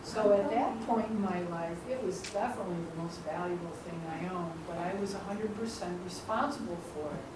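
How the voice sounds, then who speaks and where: A woman's voice: lowish voice, recorded from a distance. Female club member answering off the cuff, reflective, heard from across a very echoey room with background noise. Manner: reflective, halting at the start. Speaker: meeting participant answering a question. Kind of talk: impromptu answer at a speaking club.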